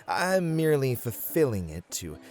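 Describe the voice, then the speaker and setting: A man's voice: medium pitch, very animated, moderate pace, darkish tone. A man speaking in casual, playful banter as a player in an ASMR fantasy roleplay.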